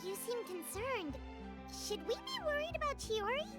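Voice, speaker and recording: A woman voicing a tiny, curious, childlike female fairy companion with a squeaky voice in an anime video game. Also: wildly swooping pitch, moderate pace, over loud music, exaggerated voice. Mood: chirpy concern.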